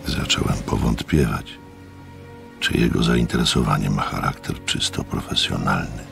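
An actor playing an observant, sceptical gentleman who narrates a radio mystery in a reflective storytelling manner. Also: a bass voice, moderate pace, creaky, faint music behind, exaggerated voice.

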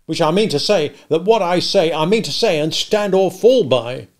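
A man reading the part of a big-hearted village blacksmith, speaking emphatically in a rough, plain-spoken manner. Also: high voice, lively intonation, unhurried, voice pushed up. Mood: indignant.

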